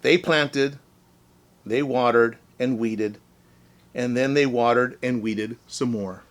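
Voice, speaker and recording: A man reading a storybook aloud as a teacher, speaking deliberately and simply to young children. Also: medium pitch.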